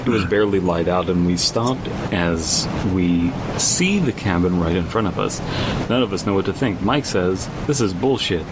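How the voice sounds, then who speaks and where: Guy with a really low voice, rambly incredulous podcast riffing on a scary story, noisy rumble behind him. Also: normal speed. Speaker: podcast host. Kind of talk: casual commentary while reading a horror story aloud.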